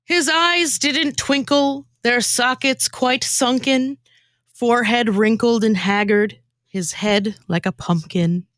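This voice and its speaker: A woman's voice: a little high, slow, fairly bright tone, no room sound, clean studio sound. A woman performing scripted comic radio theatre, narrating in a theatrical storytelling manner. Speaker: former co-host and narrator.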